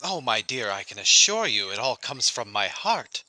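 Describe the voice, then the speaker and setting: A man voicing a bashful, flattering schoolmaster in an old-time audio drama, stammering and halting in earnest, formal speech. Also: mid-range voice. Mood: nervous, eager. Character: nervous, bashful, flattering male schoolmaster and singing master.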